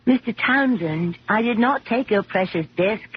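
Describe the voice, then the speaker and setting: A woman's voice: medium pitch, expressive, unhurried, breathy edge, dry recording. An actress playing an earnest job applicant who firmly and directly protests her innocence in a 1970s radio drama. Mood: protesting. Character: accused female job applicant, indignant, earnest, defiant. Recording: muffled, hissy 1970s radio broadcast.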